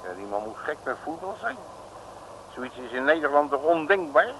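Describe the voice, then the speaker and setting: An actor playing a grumbling, streetwise detective with slangy curses in an old comic radio play. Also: male voice, on the high side, big pitch swings, normal speed. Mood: chatty, scheming. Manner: slangy, colourful cursing. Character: blustering, grumbling, streetwise Rotterdam private detective. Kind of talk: 1950s-60s comic radio play acting. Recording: noisy old radio recording.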